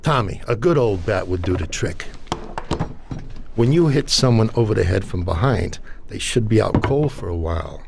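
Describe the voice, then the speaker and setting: A gravelly male mob armourer, helpful and fatherly. His lowish voice advises like a crime game character, with clear noise and clicks in the background. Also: lively intonation, normal speed. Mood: helpful, advising. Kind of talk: video game cutscene acting.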